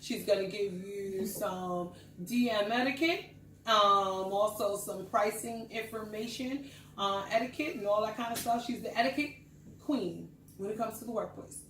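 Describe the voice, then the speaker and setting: A woman's voice: mid-range voice, moderate pace, fairly bright tone, far from the mic. A woman hosting a loose chat show, talking at ease and laughing often, now and then turning preachy. She sits back from the mic in an echoey room. A faint hiss runs underneath. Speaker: podcast host. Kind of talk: casual group chat.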